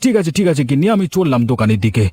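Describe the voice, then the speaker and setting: Casting: man to play cocky village food-stall husband for cartoon comedy, quick, scornful, highish male pitch. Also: big pitch swings, mellow, soft background music. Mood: proud, assured. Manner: boastful, scornful, emphatic. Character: boastful, stubborn village biryani cook and stall owner, husband.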